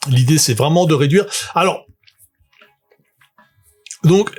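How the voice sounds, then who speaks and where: A man's voice: on the high side, slow, a little mellow, breathy edge. A man, rambling through a casual livestream full of fillers and self-mocking asides. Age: 40s. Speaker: tabletop game author and streamer.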